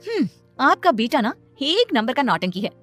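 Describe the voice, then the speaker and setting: A woman plays a fond, teasing young wife and mother in a cartoon story. She nags playfully in a high voice with faint music behind. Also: big pitch swings, normal speed, fairly bright tone, full character voice. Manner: playful, nagging.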